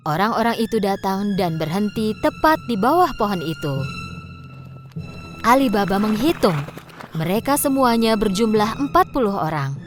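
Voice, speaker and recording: A woman's voice: medium pitch, animated, normal speed, over music. A woman narrating a children's storybook tale from a script.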